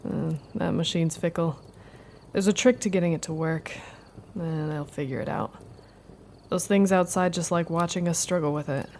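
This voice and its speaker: A woman acting as a solitary witch of the woods, hospitable yet wary. Her lowish voice has plenty of fry and she talks in a frank, wry, casual way, with faint night noise behind her. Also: normal speed, a little mellow, natural voice. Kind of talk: naturalistic audio drama dialogue.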